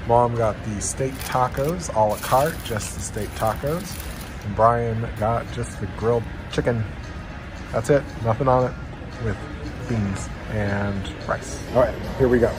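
A man's voice: mid-range voice, low-quality recording. Man reviewing tacos on a phone. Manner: chatty, eating between lines with satisfied hums. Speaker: food vlogger. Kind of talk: casual food review vlog. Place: indoor restaurant dining room. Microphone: phone held at arm's length. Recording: phone audio with clear restaurant noise. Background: restaurant noise.

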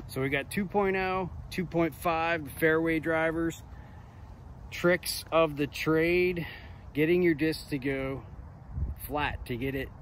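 A man in his forties giving a relaxed, plain-spoken disc golf lesson outdoors in an open field, recorded on a nearby camera. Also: medium pitch, noisy background. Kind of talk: outdoor instructional talk.

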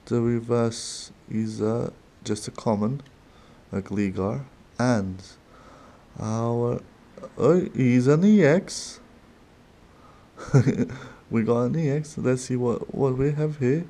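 A man with a non-native accent opening trading cards at a desk, talking casually and haltingly with small laughs. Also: medium pitch, dark tone. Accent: non-native English. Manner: slow, halting, chatty with little laughs. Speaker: card-opening channel host. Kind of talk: casual card-opening commentary. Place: desk in a dim room.

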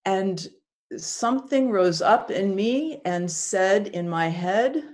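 A woman's voice: mid-range voice, expressive, takes it slowly, darkish tone. A woman acting a proud foreign single mother who is stung but defiant, speaking in an earnest, emotionally raw first-person monologue. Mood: wounded. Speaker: actor in a biblical monologue.

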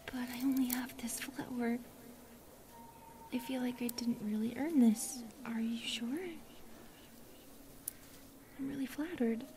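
A woman voicing a shy, lonely, gentle young forest spirit in soft, hesitant whispers, as ASMR roleplay. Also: on the high side, steady tone, normal speed, noisy background, lightly acted. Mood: shy, grateful.

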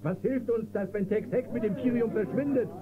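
Actor as high-pitched, worried male miner. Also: fairly quick, close to an everyday voice. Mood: demanding. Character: worried, demanding male prospector in a crowd. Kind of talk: German radio play acting. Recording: tinny cassette, crowd murmur behind.

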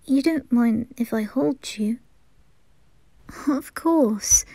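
A woman's voice: a little high, drawn-out words, warm tone, no echo, full character voice. Woman playing a lovesick, whispery teen boy. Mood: cuddly. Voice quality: breathy whispering. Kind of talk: anime ASMR roleplay.

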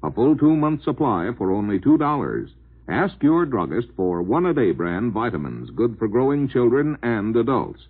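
A man's voice: mid-range voice, big pitch swings, normal speed, slightly rough. A man reading a 1940s radio advertisement in a persuasive, emphatic way. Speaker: commercial announcer. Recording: thin, phone-like vintage 1940s radio recording.

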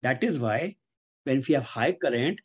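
An older man giving a webinar lecture as an instructor, speaking in a deliberate, didactic way and repeating key terms. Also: mid-range voice, expressive, husky. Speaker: engineering instructor. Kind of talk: webinar lecture explaining slides. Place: indoors, on a webcam video call.

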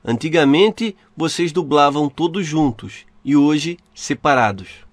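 A man's voice: fairly high pitch, animated, unhurried, no room sound. A man with a Brazilian accent asking prepared interview questions in a formal, respectful manner.

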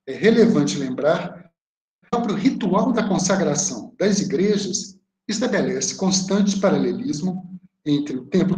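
A man's voice: a little high, darkish tone. A man with a Brazilian Portuguese accent gives an art history lecture over shared slides on a video call, first reading a prepared text, then explaining the slides with some hesitant fillers. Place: home room on a video call. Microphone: webcam or laptop mic on a video call. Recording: roomy video call audio.

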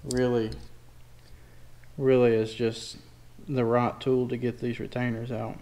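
Male DIY mechanic for a garage how-to: plainspoken and folksy, very little pitch movement, casual step-by-step delivery. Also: flat delivery, unhurried, dark tone. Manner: plainspoken, informal, folksy wording. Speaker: DIY mechanic demonstrating a tool. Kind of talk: casual hands-on tutorial narration. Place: indoor garage workshop.

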